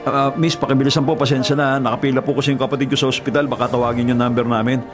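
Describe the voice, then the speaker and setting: An actor playing a weary, devoted young Filipino delivery rider and elder brother in a radio drama, with an earnest, sighing delivery. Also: male voice, medium pitch, level tone, very fast, close to an everyday voice. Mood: hurried, polite. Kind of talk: Tagalog radio drama acting. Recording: music bed behind the voice.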